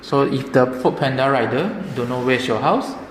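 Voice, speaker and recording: A man's voice: mid-range voice, moderate pace. A man presenting a product demonstration, explaining things simply, step by step. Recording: echoey reverberant room, background noise.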